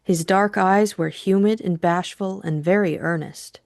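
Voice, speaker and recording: Low-voiced woman narrator, close dry recording. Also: steady tone, a little slow, vocal fry, a little mellow, dry recording. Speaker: co-host and audiobook narrator. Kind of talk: scripted introduction and novel narration.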